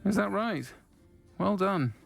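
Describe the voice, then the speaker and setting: An actor playing a protective, unflappable tavern keeper in a wizarding video game, steady and dryly defiant. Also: male voice, sits high, slow pace, creaky, exaggerated voice. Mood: friendly, then uneasy. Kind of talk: dramatic video game acting. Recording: music behind the voice.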